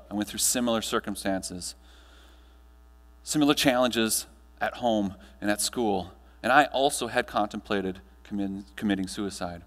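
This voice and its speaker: An American man delivering a rehearsed personal-story keynote as a motivational speaker on stage, speaking in deliberate, emphatic short phrases. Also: fairly low pitch, a bit bright. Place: large indoor hall, speaking on stage. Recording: echoey hall with mains hum.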